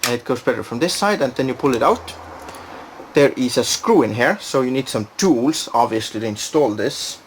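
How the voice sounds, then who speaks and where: A man's voice: medium pitch, animated, normal speed, a little hiss or hum. A man giving a casual, unscripted product demonstration, thinking aloud and hesitating as he goes. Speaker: product reviewer.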